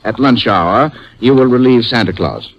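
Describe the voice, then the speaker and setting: An actor playing a prim, officious department store manager who speaks formally and hesitantly in a 1950s radio drama. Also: male voice, medium pitch, expressive, normal speed, dull audio. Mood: prim, brisk. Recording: vintage 1950s radio recording, faint music.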